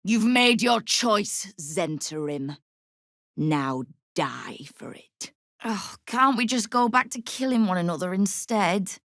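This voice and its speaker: A woman's voice: mid-range voice, lively intonation, slow pace, fairly bright tone, no echo. An actress playing a shrewd, hard-edged female crime guild boss in a video game, with a dry, wry manner and veiled threats. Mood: menacing. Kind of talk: scripted video game voice acting.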